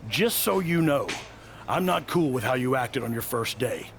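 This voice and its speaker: Gravelly, stern veteran wrestling coach. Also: male voice, medium pitch, animated, normal speed, slight background noise. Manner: blunt, reproachful. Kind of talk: video game cutscene acting.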